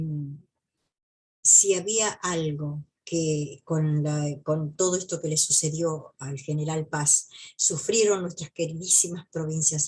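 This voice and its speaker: A woman with a Buenos Aires province accent talks history to her viewers. Her voice sits low for a woman and has a husky, rough grain. She is reflective and emphatic, circling back on phrases to give them weight. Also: a little mellow, poor audio. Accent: Argentine, Buenos Aires province. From Buenos Aires province, Argentina. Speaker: history video presenter. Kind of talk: informal history commentary to viewers.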